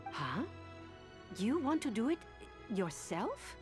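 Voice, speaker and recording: A woman's voice: fairly high pitch, sing-song, takes it slowly, soft background music. An actress playing an eager, dutiful secretary in a video game, respectful and earnest toward her boss. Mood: dutiful, surprised.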